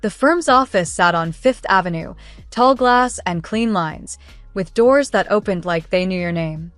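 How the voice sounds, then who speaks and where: A woman narrates a scripted story in the first person. Her delivery is earnest and measured, with a literary storytelling manner and a middle-range pitch. The sound is close and dry, with soft music behind her. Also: no echo.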